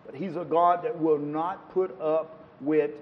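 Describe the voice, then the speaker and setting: A man's voice: fairly high pitch, fairly even intonation, measured pace, long reverb tail, phone-quality sound, slight background noise. A man preaching a sermon to a congregation, quoting scripture with rising and falling emphasis. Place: church.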